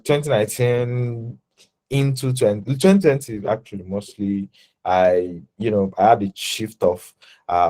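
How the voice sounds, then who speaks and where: Young Nigerian man, low and mellow voice, halting and self-correcting delivery. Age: 20s. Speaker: designer guest. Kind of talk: casual interview talk.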